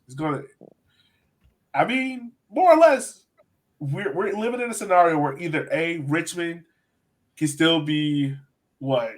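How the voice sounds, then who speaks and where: A man with a slightly high voice hosts a casual sports podcast. He talks conversationally, like someone chatting through fixtures with fellow fans. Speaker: soccer podcast host.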